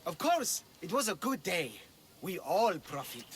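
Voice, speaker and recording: High-voiced, lively gambler. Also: male voice, measured pace, slight background noise, exaggerated voice. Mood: pleased. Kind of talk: video game dialogue acting.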